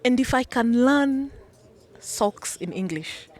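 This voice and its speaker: A woman's voice: fairly high pitch, animated, takes it slowly. A woman from Kenya with a Kenyan accent, a business founder answering interview questions conversationally. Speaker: business founder and executive director, interview guest.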